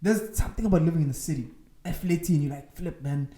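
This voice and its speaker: A man's voice: fairly high pitch, quick pace, a crowd in the background. A South African man talks loosely on a podcast, stumbling over repeated words and laughing often. His voice sounds roomy and echoey. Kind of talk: casual chat.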